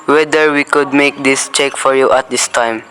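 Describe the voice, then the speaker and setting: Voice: medium pitch, normal speed, faint music behind, natural voice, low-quality recording. A student actor playing a formal, officious telephone operator and police desk officer in a school radio play. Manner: flat, officious, procedural.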